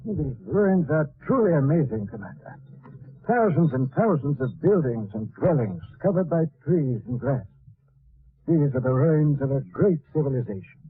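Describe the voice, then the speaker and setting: Tinny vintage radio sound, scholarly scientist, higher-pitched man, measured, deliberating, 1940s radio drama. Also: animated, husky. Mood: pondering. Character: learned alien professor, careful, pondering scientist. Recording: tinny band-limited old radio recording.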